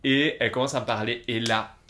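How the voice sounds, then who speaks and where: Bright-voiced man telling stories on a podcast. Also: medium pitch, steady tone, a little fast, slight background noise. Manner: chatty storyteller who drops English words into his French. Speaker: podcast co-host. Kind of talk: casual chat.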